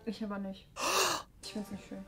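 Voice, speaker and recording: A woman's voice: medium pitch, monotone, moderate pace. A young woman in casual, improvised chat at a staged dinner. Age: young adult. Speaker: participant in a staged date. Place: indoor studio set at a dinner table. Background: music.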